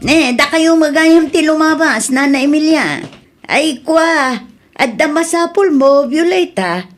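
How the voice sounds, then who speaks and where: A woman's voice: sits high, a little slow, faint music behind. An actress with an Ilocano accent playing an everyday village woman in a radio comedy drama. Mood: defiant.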